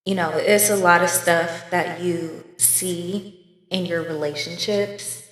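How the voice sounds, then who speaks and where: A woman's voice: on the low side, fairly even intonation, slow pace, fairly bright tone, slight background noise. A woman in her twenties from California, co-hosting a podcast, talking frankly and casually with slang and frequent laughs. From Oakland, California. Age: 20s. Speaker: podcast co-host. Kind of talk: casual chat. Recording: echoey room with long reverb.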